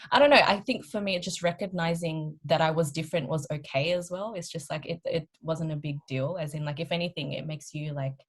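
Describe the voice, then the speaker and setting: A woman's voice: low-pitched, a little fast, hardly any pauses. A woman in her twenties with an Australian accent, talking casually as she co-hosts a podcast. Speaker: podcast co-host. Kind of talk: casual group chat.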